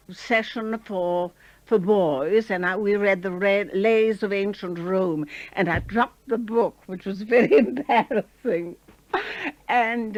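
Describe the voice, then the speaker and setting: A woman's voice: medium pitch, no echo, lo-fi sound. An older woman, a former radio host, chattily reminiscing in an interview. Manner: chatty, warm reminiscing with fillers.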